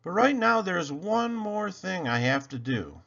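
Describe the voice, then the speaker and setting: Man putting on a high-pitched, fretful little Christmas elf. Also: big pitch swings, a little slow, gravelly, a bit bright, muffled recording, full character voice. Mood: resolute. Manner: exclaiming, fretful. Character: small anxious plucky male Christmas elf, easily alarmed.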